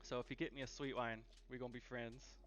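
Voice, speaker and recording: A man's voice: medium pitch, little pitch movement, quick pace, fairly bright tone, soft background music. A man hosting a casual live cooking stream from his home kitchen, chatting in an easygoing way and repeating phrases for emphasis. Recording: dull, lo-fi livestream audio.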